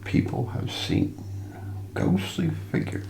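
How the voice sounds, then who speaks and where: A man softly narrating mystery stories in an ASMR style, hushed and deliberate, with pauses. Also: reverberant, a little hiss or hum, low-quality recording. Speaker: ASMR narrator hosting a mystery show roleplay. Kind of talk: soft ASMR scripted narration of ghost stories.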